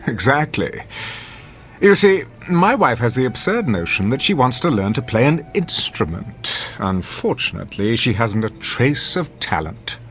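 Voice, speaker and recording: Casting: an arrogant cynic and critic for radio drama, articulate, condescending, very animated pitch, light music underneath. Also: male voice, a little slow. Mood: droll, condescending. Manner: pompous, haughty, articulate.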